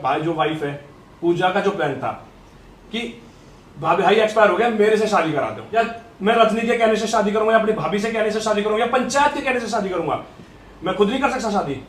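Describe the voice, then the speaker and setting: A man's voice: high-pitched, brisk, reverberant, a little hiss or hum. A man giving an unscripted, defensive and accusatory rebuttal, often repeating himself. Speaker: vlogger.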